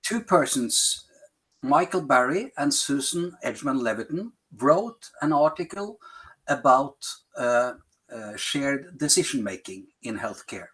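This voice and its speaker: A middle-aged man with a Norwegian accent, speaking English as a second language in a podcast interview, pausing often to find words. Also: fairly high pitch, a bit bright, low-quality recording. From Norway. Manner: searches for words, frequent pauses and fillers. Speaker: health policy advisor.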